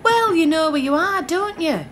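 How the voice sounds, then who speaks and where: A woman's voice: very high voice, lively intonation, normal speed, a little hiss or hum. An actress playing a naive, inquisitive young woman in a radio play, blunt in manner. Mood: musing. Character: naive questioning young woman, bored by football. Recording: train ambience behind.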